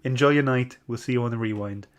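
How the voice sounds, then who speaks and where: Male live-stream host, medium pitch, informal and chatty, hesitant delivery with frequent stops. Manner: hesitant, halting when summarizing. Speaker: host of a comic book club show. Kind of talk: casual live-stream chat.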